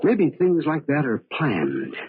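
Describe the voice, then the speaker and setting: Very husky, suspicious radio drama nephew. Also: male voice, a little high, huge pitch swings, dry recording, heavily acted. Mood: probing, suspicious. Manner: measured, probing questions. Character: grieving, quietly suspicious young male nephew. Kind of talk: old-time radio drama acting. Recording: tinny, band-limited old radio recording.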